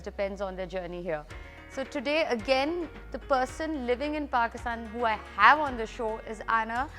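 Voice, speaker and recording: A woman's voice: fairly high pitch, fairly bright tone. Upbeat female talk show host. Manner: upbeat, emphatic, quick follow-up questions. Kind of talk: TV interview show hosting.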